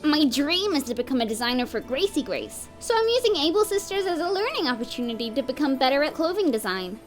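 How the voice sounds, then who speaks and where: A woman's voice: high-pitched, sing-song, fast talker, over music. A woman voicing a chirpy, helpful, slightly odd animal villager in a cartoon parody dub. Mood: eager, matter-of-fact. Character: chirpy, helpful, oddball animal villager, aspiring clothing designer.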